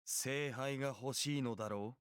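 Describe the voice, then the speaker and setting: An actor playing a haughty, emotionless golden servant in a Japanese visual novel, speaking with detached contempt. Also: male voice, medium pitch, fast talker, clear, resonant tone, dry recording, studio quality, close to an everyday voice. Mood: cold contempt.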